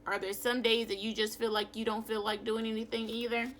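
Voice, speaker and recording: A woman teacher giving a children's read-aloud lesson in an expressive, instructive way. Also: on the high side, fairly quick, slight background noise. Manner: expressive, instructive, asks questions of pupils.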